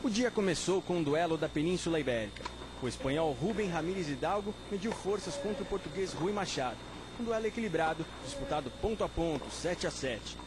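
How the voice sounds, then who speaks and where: High-voiced male sports reporter. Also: lively intonation, a little fast, noisy background. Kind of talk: scripted news voice-over.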